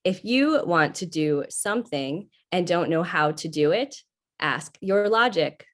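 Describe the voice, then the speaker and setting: Casting: woman voicing unfeeling helper computer, lowish pitch, very deliberate pace, retro radio sci-fi. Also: animated, a bit bright. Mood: helpful, impassive. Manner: measured, impassive announcer delivery. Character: calm, helpful, unfeeling computer service voice. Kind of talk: 1950s radio sci-fi acting.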